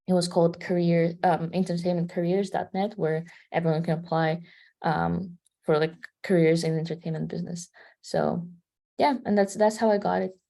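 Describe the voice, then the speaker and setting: Student panelist, young woman from Kazakhstan, mellow and a bit gravelly, talks things through as she goes. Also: on the low side, level tone, normal speed. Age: 20s. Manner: conversational, thinking aloud between phrases. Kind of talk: panel answer.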